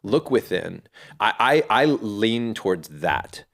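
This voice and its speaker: Middle-aged male guest, very low voice, earnest, thinks aloud with restarts. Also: sing-song, takes it slowly, gravelly. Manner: earnest and reflective, with frequent restarts. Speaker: podcast guest, fatherhood community founder. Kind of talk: casual interview talk.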